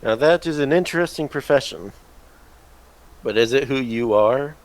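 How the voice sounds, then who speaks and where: A man voicing a calm old bearded male stranger who asks slow, philosophical questions in a tabletop game. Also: medium pitch, lightly acted, low-quality recording. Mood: probing.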